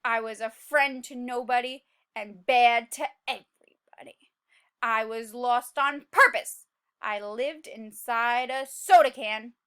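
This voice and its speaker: Woman reading as a dramatic little bad seed, high-pitched. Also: slow pace, sharp tone, clear, resonant tone. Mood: gloomy, brooding. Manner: dramatic, confessional storybook delivery. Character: tiny male sunflower seed, gloomy bad boy trying to reform. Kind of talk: children's picture book read-aloud.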